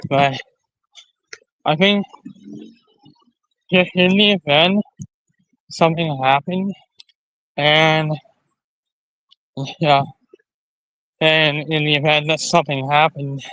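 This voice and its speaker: A man hosts a casual livestream in a slightly high-pitched voice. He speaks slowly and haltingly, circling back to repeat phrases as he rambles to his viewers. The sound is close and dry. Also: fairly even intonation, sharp tone, smooth, no room sound, low-quality recording. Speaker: live stream host.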